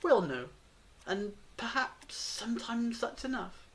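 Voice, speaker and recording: A woman playing a calm, enigmatic and reassuring character in an audio drama, speaking in a measured, philosophical way. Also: on the high side. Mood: reflective.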